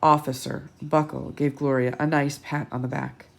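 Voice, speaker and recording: A woman reading a picture book aloud to children as a storytime host, animated and teacherly, with dramatic delivery and little asides. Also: a bass voice, moderate pace, gravelly.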